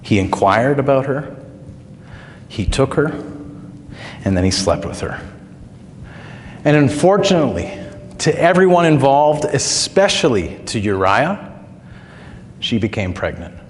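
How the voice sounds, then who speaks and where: Male pastor, mid-pitched, slightly hoarse, conversational storytelling sermon, long church reverb. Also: big pitch swings, measured pace, pauses often, slight background noise. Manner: conversational, folksy storytelling with filler words. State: recovering throat, slightly hoarse.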